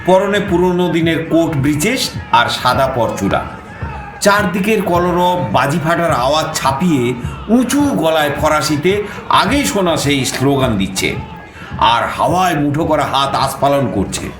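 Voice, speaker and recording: A man's voice: a little high, measured pace, reverberant. An actor playing a theatrical raconteur who tells his own travel adventure as a dramatised audio story. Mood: animated storytelling. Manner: expressive, theatrical. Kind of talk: dramatised audio story narration in first person. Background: background music.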